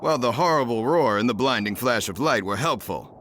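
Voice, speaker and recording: A man's voice: medium pitch, expressive, fairly quick, fairly bright tone, a little hiss or hum, lightly acted. An actor playing a wry, seasoned hero in a video game, a man with a storytelling manner. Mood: wry, reflective. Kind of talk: dramatic video game voice acting. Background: faint music.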